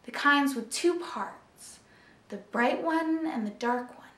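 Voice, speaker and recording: Young woman acting teenage girl, wounded, needling, confiding stage monologue, high clear-edged bright voice, roomy recording. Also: slow pace, distant mic. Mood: tender. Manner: intense, pointed, confiding. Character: wounded, needling teenage older sister, jealous and wistful. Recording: echoey room.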